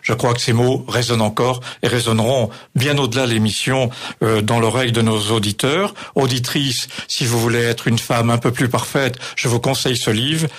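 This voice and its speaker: A man with a slightly husky voice hosts a radio interview. He speaks in an effusive, flowery way, lavishing praise on his guests. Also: medium pitch, fairly even intonation, normal speed. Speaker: radio host.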